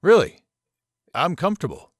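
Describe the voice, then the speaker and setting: A man acting a polite, probing, subtly ominous college professor in a visual novel, speaking in a measured, formal, theatrical way. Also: on the high side, wildly swooping pitch, dry recording, clean studio sound. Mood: cordial. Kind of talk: visual novel character dialogue.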